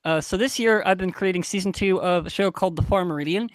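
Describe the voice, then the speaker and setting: Guy with a pretty high voice, dry close sound, chatting on a podcast panel, emphatic and informal. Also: fast talker, no room sound. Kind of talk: casual panel discussion, telling anecdotes.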